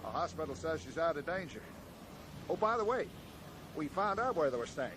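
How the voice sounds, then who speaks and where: A kindly small-town American sheriff in middle age, with a slightly high voice. He talks in a folksy, halting, apologetic way. It is a 1980s radio play with clear noise in the recording. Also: big pitch swings. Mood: apologetic, matter-of-fact. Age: middle-aged. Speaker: actor. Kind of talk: 1980s radio drama acting.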